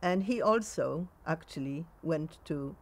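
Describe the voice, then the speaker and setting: A woman in her nineties with a Polish accent, recounting memories in a halting way in a sunny garden. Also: low voice, lively intonation. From Poland. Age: 90s. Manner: halting, with frequent pauses and restarts. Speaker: interviewee.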